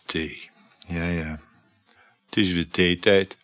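A man's voice: deep voice, steady tone, slow pace, fairly bright tone, a little hiss or hum. An actor playing a needy, wry, jealous married lover in his thirties in a Dutch radio drama, with dry, hesitant replies. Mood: sour, wry. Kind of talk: Dutch radio drama acting, intimate dialogue.

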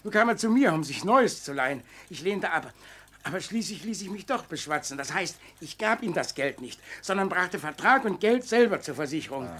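An actor playing a middle-aged, suspicious, embittered uncle under questioning in a German radio crime drama, curt and defensive. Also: male voice, high voice, animated, brisk, fairly bright tone, a little hiss or hum. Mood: grudging.

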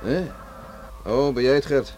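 An actor playing a gruff, stubborn village beachcomber in a Dutch radio play, grumbling and plain-spoken. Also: male voice, mid-range voice, measured pace, dark tone, natural voice. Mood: guarded. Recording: noisy old radio play recording.

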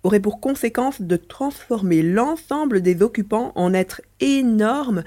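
A woman's voice: mid-range voice, expressive, measured pace, dark tone. A woman voicing a mischievous male mouse-beaver alien, a chatty, teasing adventurer in a French audio drama. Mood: storytelling.

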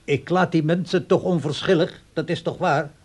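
An actor playing an aging father in a radio play, sly and aggrieved, with a husky, understated delivery. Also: a little high, steady tone, moderate pace, a little mellow, lightly acted. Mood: wry, defiant.

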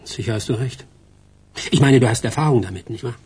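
An actor playing the earnest, loyal son of a mafia boss in a radio drama, with a raspy voice and understated manner. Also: male voice, mid-range voice, fairly quick, a little mellow. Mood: subdued earnest. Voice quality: raspy, husky. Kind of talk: German radio crime drama acting.

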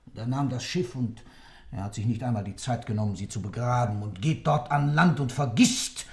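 A man's voice: mid-range voice, normal speed, creaky, natural voice. An actor performs an old man being questioned in a radio play. He is sly and proud, with a middle-register voice. His delivery is sardonic, condescending and theatrical, as if he outranks his examiner. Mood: scornful, impassioned. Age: older. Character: sly, proud old man under interrogation, self-styled god. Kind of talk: German radio play acting.

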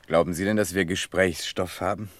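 A man's voice: sits low, steady tone, a little fast, no room sound, natural voice. An actor in a radio play as a young male student who speaks politely and briefly, with sceptical reserve. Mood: guarded.

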